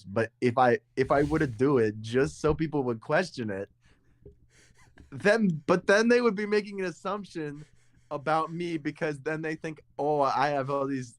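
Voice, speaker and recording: A young man with a deep voice, co-hosting a casual podcast chat and explaining at length, with frequent restarts and repeated words. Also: no room sound. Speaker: podcast co-host. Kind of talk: casual conversation.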